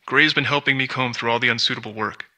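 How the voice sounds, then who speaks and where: An actor playing a guarded, paranoid scientist in a video game, speaking in formal, grave, reflective monologue. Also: male voice, mid-range voice, steady tone, fast talker, bright, forceful tone. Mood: guarded, worried.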